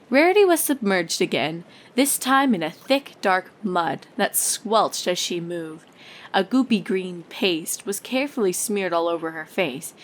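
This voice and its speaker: A woman's voice: medium pitch, a little hiss or hum. A woman reading fan fiction aloud as a scripted story narrator.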